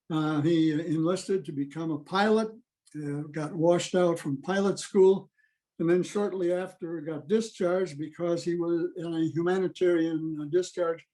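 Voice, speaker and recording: A man giving an informal history presentation on a video call, matter-of-fact and halting. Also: a little high, darkish tone. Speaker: presenter at an old-time radio club meeting.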